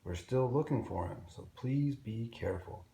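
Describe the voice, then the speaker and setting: A man reading a picture book aloud to young children in an expressive, simple storytelling way. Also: medium pitch, normal speed, gravelly. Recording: roomy echo with faint background noise.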